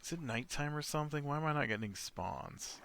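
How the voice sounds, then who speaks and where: A man's voice: fairly high pitch, lively intonation, brisk, vocal fry, fairly bright tone, a little hiss or hum. A middle-aged man hosting a game playthrough from home, telling a meandering story full of fillers and restarts. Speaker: let's play host. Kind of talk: rambling casual gameplay chat. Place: home room.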